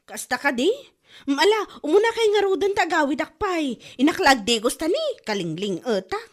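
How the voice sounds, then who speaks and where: Woman acting high, swooping Ilocano village wife. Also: very animated, normal speed. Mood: curious, gossipy. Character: lively, scheming village wife. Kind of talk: comedy audio drama acting.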